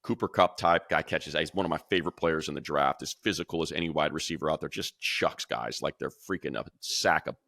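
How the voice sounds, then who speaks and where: A man with a very low, bright and forceful voice breaks down football picks for a podcast. He rambles excitedly and restarts his sentences often. The recording is dry and close. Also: creaky, no room sound. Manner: rapid, rambling, excitable, full of fillers and restarts. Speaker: radio play-by-play announcer and co-host. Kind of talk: casual football podcast analysis.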